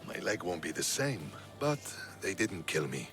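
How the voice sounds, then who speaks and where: A man playing a battle-worn, loyal Spartan soldier in dramatic game dialogue, measured and steadfast. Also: deep voice, big pitch swings, music in the background, full character voice. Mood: warm relief.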